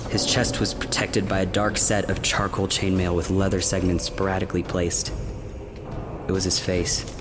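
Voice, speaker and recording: A man's voice: on the low side, noisy background, natural voice. A man playing a haunted adventurer in a fantasy radio play, recounting memories in a subdued, reflective way. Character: haunted young male adventurer recalling enslavement.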